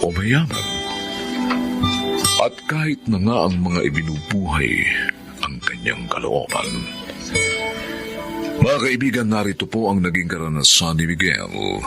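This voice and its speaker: Deep, slow, gravelly Filipino male radio announcer. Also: huge pitch swings. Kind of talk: solemn scripted radio narration. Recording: loud guitar music under voice. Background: loud plucked guitar music.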